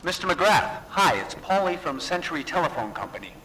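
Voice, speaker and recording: Game bit part: apologetic male phone company clerk, highish bright voice, hesitant, faint noise. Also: big pitch swings, fairly quick, poor audio. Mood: apologetic, awkward.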